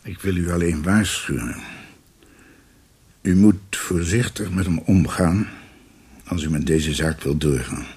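An actor playing a guilt-ridden older former judge in a radio drama, husky, halting and formal, a proud and guarded father. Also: low-pitched, a little mellow, full character voice. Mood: guarded, defensive.

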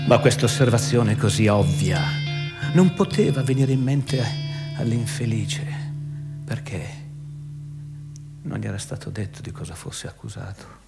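An actor playing a grave, indignant narrator who comments on an unjust trial in dramatic, emphatic radio theatre narration. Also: male voice, on the low side, expressive, a little slow, vocal fry, pauses often. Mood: hushed, bitter. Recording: long reverberant hall, guitar music underneath.

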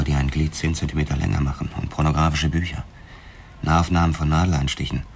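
An actor playing a driven, curt police detective in a German radio drama, speaking in a clipped, insistent way. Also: male voice, rumbling low, a little mellow. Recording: clear background noise.

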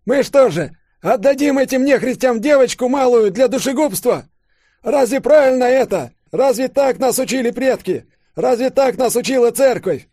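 A man's voice: very high voice, animated, moderate pace, warm tone, dry recording, studio quality, voice pushed up, exaggerated voice. A man voicing an old male servant who pleads for a girl and defies a soldier with his life. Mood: pleading. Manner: pleading, impassioned.

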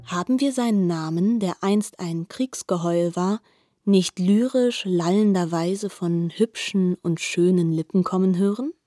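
A learned narrator of a biblical epic in a radio play. Her voice is fairly low and warm, with measured, articulate, reflective phrasing. It is recorded dry, over faint music. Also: no echo.

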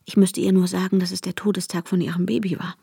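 Intense, haunted woman in breathy tones. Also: fairly low pitch, little pitch movement, quick pace, dark tone, full character voice. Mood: bitter, haunted. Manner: intense, breathy, often dropping to a whisper. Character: traumatised, obsessive woman narrating her own ordeal. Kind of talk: radio drama acting with inner monologue.

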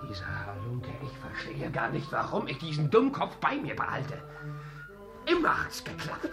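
Give the part to a fairly high-voiced hot-tempered master of a theatre troupe in a period radio comedy. He blusters and bullies, his pitch swinging widely between rage and softness. Loud music plays in the production. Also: wildly swooping pitch, normal speed. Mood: furious. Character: hot-tempered, bullying great actor and troupe master, fickle. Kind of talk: German radio play acting of a period comedy.

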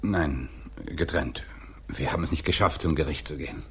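An actor in his thirties playing a guarded, evasive working man under questioning in a radio play, hesitant and subdued, with a breathy husky voice. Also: very deep voice, normal speed, fairly bright tone, slight background noise. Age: 30s. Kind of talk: 1980s German radio play acting.

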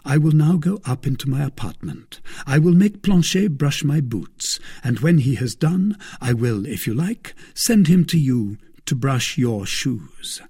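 A man voicing a bold young male gentleman who speaks briskly and wryly, with a husky voice. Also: medium pitch, expressive, voice pushed up.

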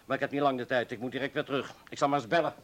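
A man's voice: mid-range voice, steady tone, racing, close to an everyday voice. An actor playing an impatient, self-important male party official in a radio drama, brusque and familiar. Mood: brisk, impatient.

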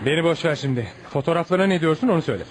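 An actor playing a curious, sympathetic male journalist in a radio play, speaking in an inquisitive, animated way. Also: on the high side, racing, lightly acted. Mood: jovial, proud. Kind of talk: radio theatre acting.

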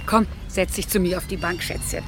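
A woman's voice: on the low side, fairly even intonation, brisk, music in the background, close to an everyday voice. An actress playing a chatty, fussy, motherly caretaker in a radio play.

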